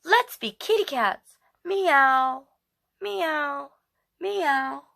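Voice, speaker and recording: A woman voicing an adoring, excitable little girl, an eager big sister in a children's storybook. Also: sits high, extremely slow, vocal fry, pitched above the performer's own voice, heavily acted. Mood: playful. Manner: exclaiming, eager storybook delivery.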